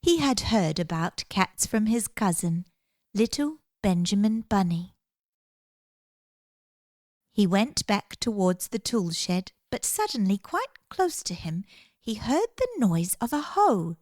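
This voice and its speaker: A woman reading a children's story aloud in an expressive, gentle, deliberate storytelling manner. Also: medium pitch, no echo, clean studio sound.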